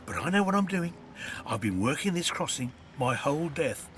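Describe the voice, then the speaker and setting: A man's voice: a little high, big pitch swings, normal speed, background noise. A man playing a sly, greedy undead ferryman in a video game, with a theatrical, mock-courteous manner. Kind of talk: video game character acting. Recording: music behind the voice.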